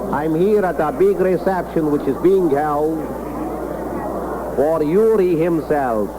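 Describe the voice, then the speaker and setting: A man's voice: a little high, background noise. A man voicing a flustered, gushing, fussy Russian reporter in a comic mock news report on 1960s radio. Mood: proud, bumbling. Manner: excitable, fussy, exasperated. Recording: vintage 1960s radio broadcast.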